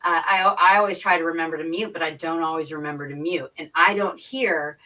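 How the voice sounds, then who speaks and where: A woman with a fairly low but sharp, bright voice chats casually on a livestream. She explains things informally, repeating phrases as she goes, and sounds set back from her microphone. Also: fairly even intonation, fairly quick. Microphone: boom mic, sitting back from it. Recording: distant from the microphone.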